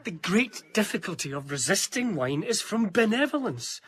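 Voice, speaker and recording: Earnest, higher-voiced gentleman narrator. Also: sing-song, normal speed. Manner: polite, articulate, formal. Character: earnest, deferential, easily wounded gentleman narrator. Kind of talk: period radio drama acting and narration.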